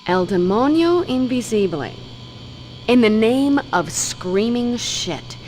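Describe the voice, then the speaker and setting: A woman's voice: on the high side, lively intonation, slow pace, darkish tone, soft background music, rough recording. An actress playing a cruel, domineering female crime boss who talks with menacing contempt. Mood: menacing scorn.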